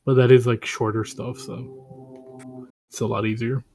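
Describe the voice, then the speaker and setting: A man's voice: mid-range voice, flat delivery, a little slow, a little mellow, faint music behind. A young man co-hosting a casual podcast, talking bluntly in slang and stumbling over restarts. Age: young adult. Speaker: podcast co-host. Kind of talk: casual chat among friends.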